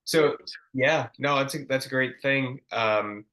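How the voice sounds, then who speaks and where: A young man hosting a podcast, casual and relaxed, with slangy words and many ums. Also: mid-range voice, slow pace. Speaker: podcast host. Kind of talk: casual interview chat.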